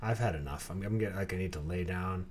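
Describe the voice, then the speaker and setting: A man co-hosting a podcast in casual chat, complaining in a deadpan, grumpy way. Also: sits low, fast talker, slight background noise. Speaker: podcast co-host. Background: music playing.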